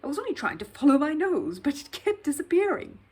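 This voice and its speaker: A woman's voice: high-pitched, very animated, fairly quick, a little mellow, voice pushed up. Woman voicing an earnest, polite young bear. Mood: flustered. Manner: polite, literal-minded, earnest.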